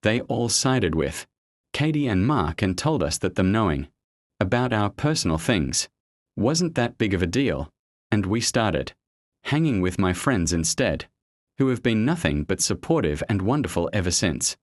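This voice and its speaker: Low-voiced man narrating a story. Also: very animated, moderate pace, dry recording. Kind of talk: scripted narration of a first-person online story.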